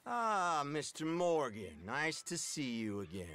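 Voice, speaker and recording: An actor playing a coldly polite, smug detective agent in a video game, pompous and mock-courteous. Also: male voice, fairly high pitch, big pitch swings, slow, a bit bright, full character voice. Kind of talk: dramatic video game cutscene acting.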